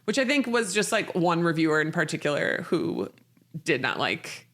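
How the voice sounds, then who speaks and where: A woman's voice: low voice, very animated, moderate pace, a bit bright. A woman hosting a podcast, chatting casually and informally about books. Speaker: podcast host. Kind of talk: casual book-discussion chat.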